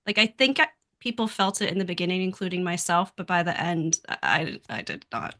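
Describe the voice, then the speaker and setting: A woman's voice: lowish voice, brisk, bright, forceful tone. A woman guest on a podcast, giving candid opinions on television in a conversational style. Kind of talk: casual TV discussion.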